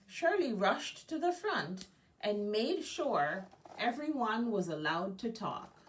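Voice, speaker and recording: Expressive woman reading a storybook aloud, unhurried. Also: mid-range voice, far from the mic, a little hiss or hum, poor audio. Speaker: guest storybook reader. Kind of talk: children's picture book read-aloud.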